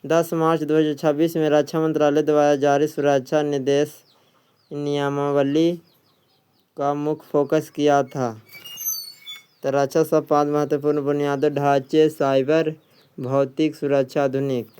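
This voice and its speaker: A man's voice: fairly high pitch, completely flat delivery, unhurried, warm tone, clean tone. A man working as an exam coach, reading quiz questions and answers aloud in an instructional way, often repeating them.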